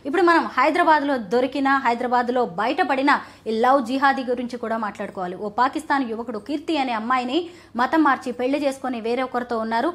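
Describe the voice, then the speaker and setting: High, fast-talking woman news host. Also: a bit bright. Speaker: TV host, senior journalist. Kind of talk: news interview questioning and commentary.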